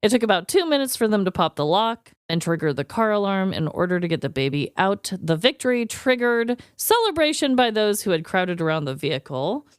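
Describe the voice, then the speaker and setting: A woman co-hosting a podcast in casual, comedic chat, animated and quick to laugh and swear. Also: medium pitch, clear, resonant tone, no room sound, clean studio sound. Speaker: podcast co-host.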